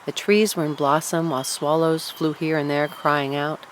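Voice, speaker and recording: A woman narrating a scripted storybook tale in an expressive, deliberate storytelling manner. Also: sits low, a little hiss or hum, poor audio. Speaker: audiobook narrator.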